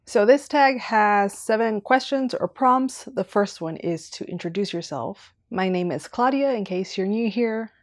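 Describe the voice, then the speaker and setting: A woman's voice: mid-range voice, animated, a little slow, hardly any pauses, no echo, studio quality. A young woman from Southern California chatting casually on camera about her reading channel, rambling and going off on tangents. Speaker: booktuber. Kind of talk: casual vlog talk.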